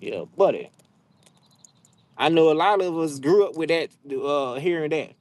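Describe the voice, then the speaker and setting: A young adult man with hosts a casual livestream, talking trash to his viewers in an animated, drawling, slangy way. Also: a little high, a little slow. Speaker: livestream host. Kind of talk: casual live chat with viewers. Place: outdoors, frogs nearby.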